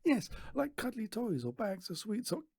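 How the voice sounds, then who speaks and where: A man's voice: high voice, sing-song, moderate pace, creaky, slightly rough, no room sound, clean studio sound, voice pushed up, full character voice. A man voicing a small, earnest, polite young boy in a storybook reading. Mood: polite, hopeful. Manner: soft, earnest, hesitant.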